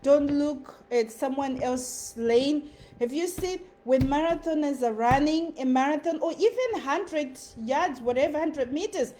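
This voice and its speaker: A woman with a Zimbabwean accent speaks to camera with earnest, emphatic energy and keeps repeating short phrases for stress. Her voice sits high. A faint hiss of background noise runs under the recording. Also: measured pace, dark tone. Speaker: content creator. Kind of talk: motivational talk to camera.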